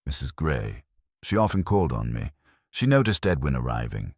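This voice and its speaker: A man voicing a weary, anxious widow in a detective story, low but steady and troubled. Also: moderate pace, dry recording, clean studio sound, heavily acted. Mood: troubled, hesitant. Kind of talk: detective audiobook character reading.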